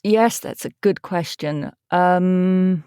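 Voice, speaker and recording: A middle-aged English woman speaks in a fairly low voice, recorded close and dry. She talks casually and conversationally, answering as an interview guest. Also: takes it slowly, dark tone, clean tone, no room sound. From East Anglia, England. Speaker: podcast guest, voice actor.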